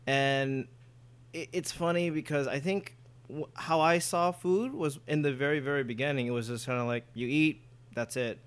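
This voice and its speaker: A man's voice: fairly high pitch, normal speed, clean tone. A man, perhaps middle-aged, with an American accent, chatting casually and telling personal stories in an informal way. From born in Indonesia, moved to the US at five. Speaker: interview guest, food business owner. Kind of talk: casual conversational storytelling.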